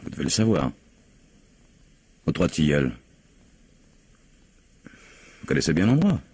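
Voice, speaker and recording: An actor playing an insistent, skeptical police investigator in a radio mystery, probing methodically with formal politeness. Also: male voice, a bass voice, sing-song, brisk, a little mellow, breathy edge, dry recording, full character voice. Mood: accusing. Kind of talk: French radio mystery drama acting.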